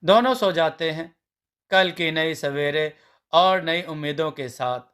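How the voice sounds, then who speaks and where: A man with a slightly high voice tells a scripted family story. His delivery is very slow and measured, in the manner of a storybook narrator. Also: fairly bright tone, clear, resonant tone.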